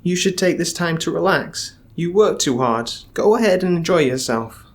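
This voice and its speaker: A man voicing a fearful young husband and mother who pleads in a high, strained voice. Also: animated, moderate pace, lightly acted. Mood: forced calm. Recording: faint music underneath.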